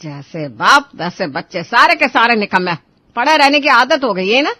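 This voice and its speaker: A woman voicing an exasperated, scolding mother-in-law in an animated family story. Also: fairly high pitch, normal speed, dry recording, full character voice. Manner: scolding, emphatic. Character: exasperated mother-in-law scolding her lazy grown sons.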